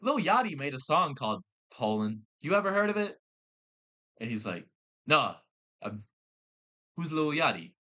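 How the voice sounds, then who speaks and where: A young man with a Southern accent who works in fast food, telling a work story in casual chat. He swears often, laughs a lot and acts out the people he quotes. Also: fairly high pitch, very animated, sharp tone. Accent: American Southern. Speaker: podcast co-host.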